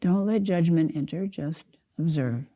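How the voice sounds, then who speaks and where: Older woman, very dark mellow low voice. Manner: calm, unhurried, measured, with spaced-out phrases. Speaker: Zen teacher leading a guided meditation. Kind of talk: guided meditation.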